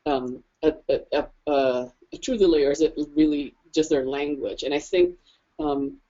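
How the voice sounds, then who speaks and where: A woman's voice: deep voice, lively intonation, normal speed, creaky, dark tone, lo-fi sound. A woman speaking on a panel, thoughtful and hesitant, with many restarts. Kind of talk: conversational discussion.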